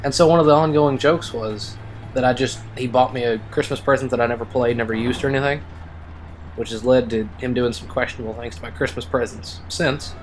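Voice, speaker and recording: A man's voice: mid-range voice, steady tone, brisk, faint music behind. A man giving casual let's play commentary as a gaming video host, rambling and wry.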